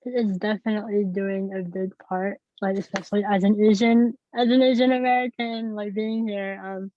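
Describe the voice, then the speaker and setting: A woman's voice: medium pitch, steady tone, moderate pace, mellow, slight background noise. A young adult woman moderating a student panel. She talks hesitantly, with many fillers and repeated words. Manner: hesitant, lots of ums and repeats. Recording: dull, dry video-call audio.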